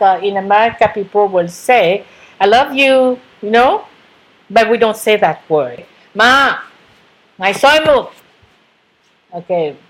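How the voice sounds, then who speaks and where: A woman's voice: mid-range voice, poor audio. A woman telling a fond family story hesitantly, with many fillers. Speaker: interviewee. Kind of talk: personal storytelling.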